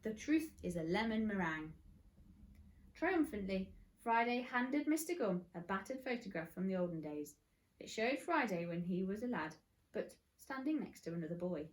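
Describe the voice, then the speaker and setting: A woman's voice: medium pitch, animated, normal speed, low-quality recording. A woman narrating a children's story expressively, like a teacher reading aloud.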